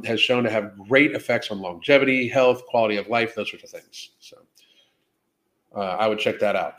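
A man's voice: mid-range voice, a bit bright. A man hosting a casual live Q&A, talking off the cuff in a blunt, heavily profane way. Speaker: live Q&A host. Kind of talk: casual unscripted livestream Q&A, reading and answering viewer questions.

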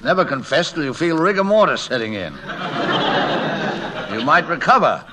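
An actor playing an eccentric, flustered gentleman painter in a 1940s radio play, brusque and prone to stammering. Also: on the high side, sing-song, moderate pace, a crowd in the background, low-quality recording. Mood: blustering, dismissive. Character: eccentric, blustering gentleman painter, fond of his servant.

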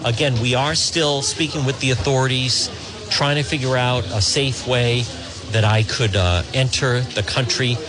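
A man's voice: mid-range voice, fairly even intonation, moderate pace, crowd noise. A man with a New England accent, a radio host asking a volunteer earnest, rambling questions while outdoors at a border crossing. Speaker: radio host reporting from abroad. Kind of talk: on-the-street interview.

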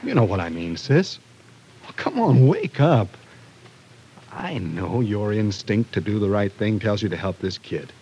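An actor playing a stubborn, distrustful small-town brother in a 1940s radio drama, blunt and scoffing. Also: male voice, on the low side, big pitch swings, unhurried, warm tone, breathy edge, exaggerated voice, rough recording. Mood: insistent warning.

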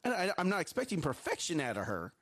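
A man's voice: on the high side, wildly swooping pitch, quick pace, fairly bright tone. A man in his thirties co-hosting a live morning show, giving an earnest motivational talk with a strong voice, often repeating and restarting words. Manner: strong voice that carries.

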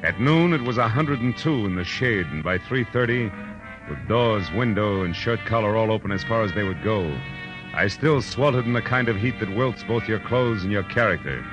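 World-weary American male private eye, acted, lowish voice, deadpan noir narration with music clearly underneath. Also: moderate pace, natural voice, rough recording. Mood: wry, weary. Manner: wry, deadpan, punchy. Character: wry, world-weary hard-boiled male private detective. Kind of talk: 1940s radio noir first-person narration. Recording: old radio drama, music underneath.

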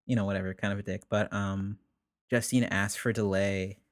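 A young man, a musician guest, telling stories in a relaxed, rambling way, with many "like"s and frequent laughs. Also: lowish voice, moderate pace, no echo, studio quality. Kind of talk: casual conversation.

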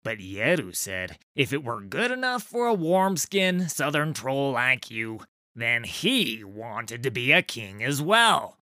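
A man's voice: mid-range voice, big pitch swings, slow, bright, forceful tone, no echo, studio quality, exaggerated voice. A man voicing a dim, wheezing rust-hided troll. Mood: gossipy.

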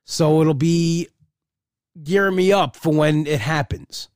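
A man's voice: fairly high pitch, takes it slowly, no echo, clean studio sound. A middle-aged man talking casually and candidly in a rambling personal monologue, often restarting his sentences.

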